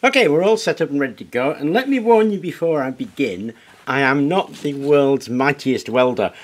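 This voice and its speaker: A man's voice: a little high, animated, moderate pace. A middle-aged man chatting casually as he explains a project, self-deprecating and hands-on, recorded in an indoor workshop. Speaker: workshop video host. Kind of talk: casual demonstration.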